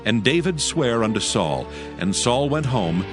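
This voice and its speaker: A man's voice: medium pitch, big pitch swings, a little slow, music in the background. A man narrating dramatized scripture as a solemn storyteller.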